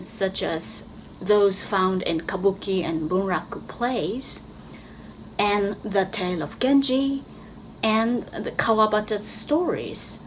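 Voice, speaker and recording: Female academic author, mid-pitched, scripted presentation, recorded with faint background noise. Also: low-quality recording.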